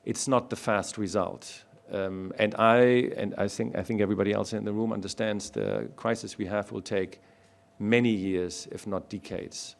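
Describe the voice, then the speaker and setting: A man from Germany, speaking as a panelist in a public discussion and making his points one by one in a measured way. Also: fairly low pitch, gravelly.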